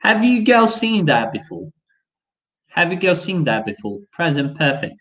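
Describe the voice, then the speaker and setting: A man's voice: on the high side, big pitch swings, a little slow, a bit bright. A young adult man with a Brazilian Portuguese accent teaching a live online English class, chatty and full of fillers. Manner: chatty, many fillers like "you know". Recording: dull lo-fi stream audio.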